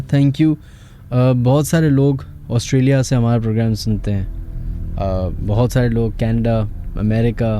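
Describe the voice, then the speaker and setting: Man, Pakistani accent, late-night radio horror host, mellow dark tone, mid pitch, music underneath. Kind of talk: late-night radio horror show hosting, talking to listeners and callers. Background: background music.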